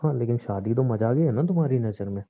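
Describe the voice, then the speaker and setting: Actor as a bitter husband, phone-like sound. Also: male voice, mid-range voice, expressive, quick pace, natural voice. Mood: bitter, sarcastic. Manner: sarcastic, confrontational. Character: bitter, controlling young husband, hurt and unyielding. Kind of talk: acted Hindi relationship audio drama, conversational. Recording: thin, muffled phone-like lo-fi audio.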